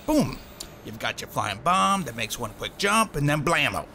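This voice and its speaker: A man's voice: mid-range voice, big pitch swings, normal speed, pushed and bright, slight background noise. A man in an audio drama playing a cocky, eccentric starship engineer, chatty, slangy and rambling. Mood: excited, breezy.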